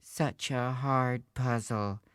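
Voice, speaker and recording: A man voicing a chatty middle-aged mother at a party in a read-aloud storybook, unhurried and lightly amused. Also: medium pitch, fairly even intonation, fairly bright tone, clean tone, no room sound, voice pushed up.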